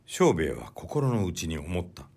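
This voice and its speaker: Male audiobook narrator, lowish pitch, deliberate and measured delivery of literary prose. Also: sing-song, vocal fry, darkish tone, husky.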